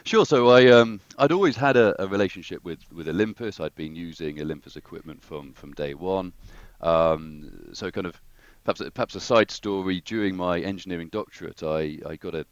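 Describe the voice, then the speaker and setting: A man's voice: deep voice, moderate pace, hardly any pauses, no echo, muffled recording. A man, a guest engineer, talking through his career in a hesitant, thoughtful way with many restarts. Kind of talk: casual interview conversation.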